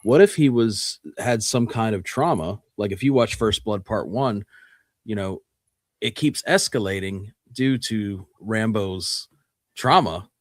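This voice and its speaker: A man co-hosting a radio show, offering casual commentary in a measured, reflective way. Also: fairly low pitch, dry recording, studio quality.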